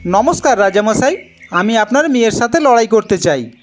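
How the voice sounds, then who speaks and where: A woman's voice: on the high side, lively intonation, moderate pace, soft background music, voice pushed up, lightly acted. A woman voicing an eager, earnest young village boy in a cartoon folk tale. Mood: earnest, confident. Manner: earnest, repeats his pleas. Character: woodcutter's young son, eager, polite, bold boy.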